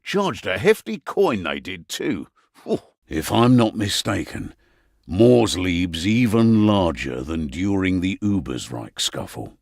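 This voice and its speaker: Fantasy game quartermaster: middle-aged Englishman, gruff, dryly humorous, hugely varied intonation, close dry studio sound. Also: deep voice, very animated, takes it slowly, no room sound, heavily acted. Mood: wry, grumbling. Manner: wry, blunt, colloquial banter. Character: gruff, wry veteran innkeeper and mission quartermaster, male. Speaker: quest-giver in a fantasy game. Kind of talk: fantasy video game character barks.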